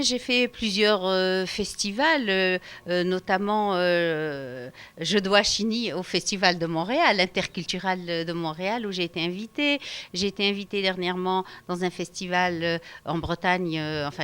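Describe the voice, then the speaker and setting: A woman's voice: lowish voice, moderate pace, clear, resonant tone. A woman from Algeria, a storyteller being interviewed for radio at a festival, talking with many hesitations and fillers. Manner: hesitant, many fillers, warm laughter when animated. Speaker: storyteller guest.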